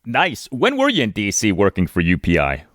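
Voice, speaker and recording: Low-voiced American sports host, dry sound. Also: male voice, wildly swooping pitch, moderate pace, fairly bright tone, no echo. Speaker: sports podcast host, interviewer. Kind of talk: prepared interview questions, sports talk.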